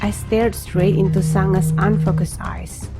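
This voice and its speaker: A woman's voice: mid-range voice, level tone, measured pace, loud music behind the voice, close to an everyday voice. A woman playing an introspective young reader, narrating his story in scripted first-person audio drama style. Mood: reflective.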